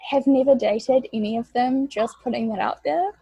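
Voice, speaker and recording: A young woman from New Zealand talks casually as a podcast guest, her voice gravelly and husky. She chats loosely and drops in lots of "like". The recording is dry and close, with no room sound. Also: fairly high pitch, moderate pace, mellow, no echo, dull audio. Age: 20s. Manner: chatty, peppers speech with "like".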